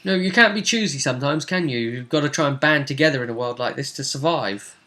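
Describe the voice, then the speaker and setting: A man's voice: medium pitch, quick pace, pushed and bright. A man casually discussing a tabletop role-playing game as its game master, often checking with tag questions.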